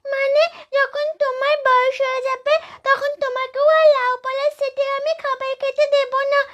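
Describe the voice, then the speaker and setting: Little child, extremely high pitch, innocent, matter-of-fact, earnest, family skit. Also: female voice, little pitch movement, moderate pace, a bit bright, highs cut off. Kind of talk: solo voice-modulated Bengali family skit.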